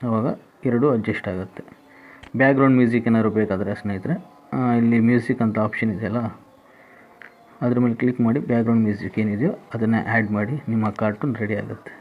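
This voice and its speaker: A man's voice: on the low side, steady tone, brisk. Tinny-sounding man giving app instructions. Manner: instructional, repeats each step. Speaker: tutorial host. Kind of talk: step-by-step app tutorial voice-over. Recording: tinny phone-like band, faint noise.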